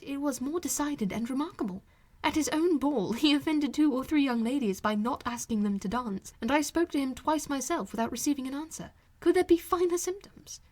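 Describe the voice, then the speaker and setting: Woman reading as a spirited, witty young gentlewoman. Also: high-pitched, voice pushed up. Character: lively, witty young gentlewoman, ironic and emphatic. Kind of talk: audiobook character voice.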